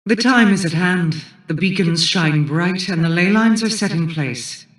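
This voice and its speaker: A woman playing a solemn, calculating queen in a video game, speaking in a measured, formal way. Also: on the low side, animated. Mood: grave, measured. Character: regal, solemn, calculating queen of an otherworldly people. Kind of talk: scripted video game acting. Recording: roomy echo, distant from the mic.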